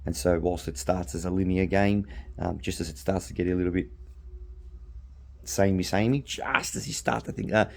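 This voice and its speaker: A middle-aged Australian man hosting a podcast alone, slightly hoarse from losing his voice, rambling casually with frequent ums and restarts. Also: deep voice, fairly even intonation, moderate pace, a little mellow. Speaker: podcast host. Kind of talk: casual solo chat.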